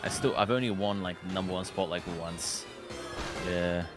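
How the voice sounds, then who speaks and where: A man's voice: low-pitched, moderate pace. A British man streaming a video game, chatting loosely, rambling and swearing freely. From Britain. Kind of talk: casual gaming chat. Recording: game music playing behind.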